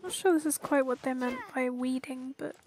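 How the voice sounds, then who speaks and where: A woman's voice: sits high, steady tone, moderate pace, a little mellow, slight background noise. A woman doing casual let's play commentary in a dry, offhand way. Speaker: game streamer.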